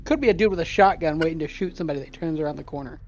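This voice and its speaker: Rpg player talking tactics, quick, practical, muffled sound with a bit of hiss. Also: mid-range voice, darkish tone. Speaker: player in a tabletop game. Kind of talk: casual game chat. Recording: dull lo-fi audio with faint noise.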